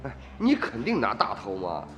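A man's voice: fairly low pitch, wildly swooping pitch, normal speed, fairly bright tone. An actor playing a pushy middle-aged village man in a radio drama, wheedling and insistent, repeating himself as he presses. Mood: coaxing, scheming. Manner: insistent, repetitive. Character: wheedling, pushy village father feigning greed. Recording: reverberant studio drama with music.

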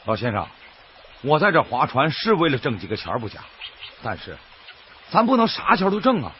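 A man's voice: medium pitch, sing-song, a little fast, slight background noise, lightly acted. An actor playing a kindly, principled boatman in a radio drama, with a folksy, earnest Northeastern Chinese accent. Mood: firm, earnest. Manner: folksy, earnest, plain-spoken. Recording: dull radio recording with loud music.

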